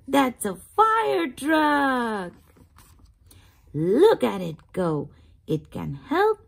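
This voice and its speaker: High, slow, lilting woman reading to children. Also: gravelly, breathy edge. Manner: expressive, simple teacherly delivery for young children. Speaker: host of children's read-aloud channel. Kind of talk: children's picture-book read-aloud with simple questions.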